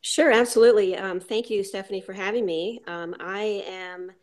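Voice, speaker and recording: A woman's voice: medium pitch, fairly even intonation, moderate pace, a little mellow. A woman from the United States, a guest in a casual panel chat, speaking in a friendly, upbeat way with frequent fillers.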